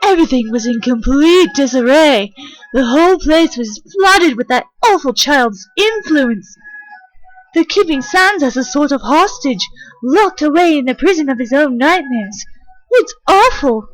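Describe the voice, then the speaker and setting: A woman's voice: sits high, lively intonation, measured pace, dry recording, faint music behind, rough recording. A woman voicing a fussy, theatrical robot messenger with a melodramatic, campy manner in an amateur comic dub. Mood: dramatic dismay.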